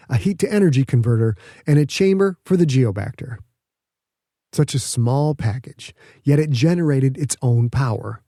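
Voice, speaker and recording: Mellow man narrating fiction, close and dry. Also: mid-range voice, no echo. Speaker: audiobook narrator.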